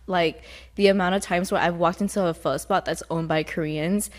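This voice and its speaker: A young woman chef and restaurant owner chatting casually as an interview guest, relaxed and slangy, laughing often. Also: on the low side, moderate pace.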